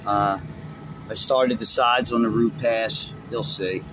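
A man casually narrating his welding work on a construction site in short, relaxed remarks. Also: fairly low pitch, takes it slowly, noisy background. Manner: laid-back, terse running commentary. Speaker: welder filming his work. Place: outdoors on a construction site, partly inside a steel tube.

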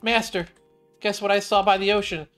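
A man voicing a bubbly, excitable young woman from a game. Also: sits high, moderate pace, soft background music, voice pushed up. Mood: excited.

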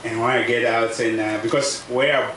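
South Sudanese man, halting non-native English. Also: medium pitch, steady tone, fairly bright tone. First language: a South Sudanese language. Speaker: refugee speaker. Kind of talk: personal testimony at a public event. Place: outdoors under a tent canopy at a camp. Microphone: table microphone on stand. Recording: echoey, distant outdoor recording with noise.

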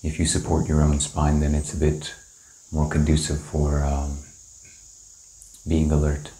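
A man leading a guided meditation, giving calm, gentle, unhurried instructions with long pauses. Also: extremely low pitch. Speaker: meditation teacher. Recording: roomy, echoing indoor sound.

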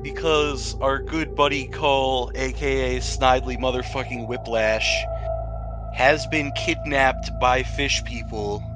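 A man runs a tabletop game as host and narrator. His manner is loose and sweary, and music plays clearly underneath his voice. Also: medium pitch, steady tone, a little slow. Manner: casual, sweary. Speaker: game master and podcast host. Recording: music behind the voice.

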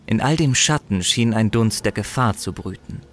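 A man narrating a scripted fantasy story dramatically, with measured, suspenseful phrasing and pauses. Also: lowish voice, expressive. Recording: slight background noise.

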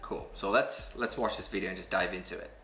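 A man in his thirties from Malaysia with a Malaysian accent, chatting casually and warmly while reacting to a video in his living room. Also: deep voice, a little fast, gravelly, roomy sound, loud music behind the voice. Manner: relaxed, chatty, easily moved. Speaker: reaction channel co-host.

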